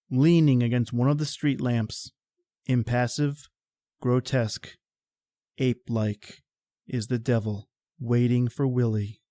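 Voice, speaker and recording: A man's voice: medium pitch, dark tone, no echo. A man hosting and narrating a horror anthology in a scripted, theatrical, ominous style. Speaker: podcast host and narrator.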